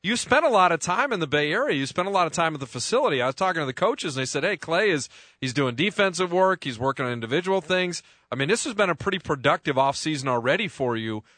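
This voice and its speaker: A man's voice: on the high side, lively intonation, fast, a bit bright, no echo. A man hosting a sports radio interview in a studio, chatty and joking with lots of fillers.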